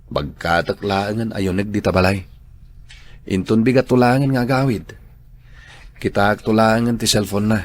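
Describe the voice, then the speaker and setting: A man acting a kind young man in love in an Ilocano radio drama, speaking softly and earnestly with an Ilocano accent. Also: fairly low pitch, fairly even intonation, normal speed, long pauses, lightly acted. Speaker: drama character Ronnie.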